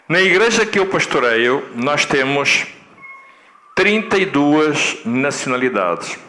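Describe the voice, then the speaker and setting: A middle-aged man from Angola with a European Portuguese accent, preaching a sermon as a guest pastor. He speaks slowly and deliberately, repeats key phrases, and builds to emphatic peaks. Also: mid-range voice, sharp tone. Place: church hall.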